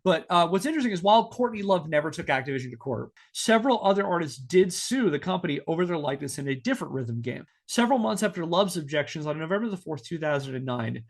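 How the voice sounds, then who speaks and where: A man hosting a podcast, telling a researched story to friends in a partly scripted, measured way. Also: fairly high pitch, animated. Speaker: podcast host.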